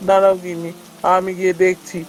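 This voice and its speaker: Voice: medium pitch, little pitch movement, quick pace, lightly acted. An actor playing a humble, helpful poor village husband in a fairy-tale cartoon, with some background noise. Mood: resigned.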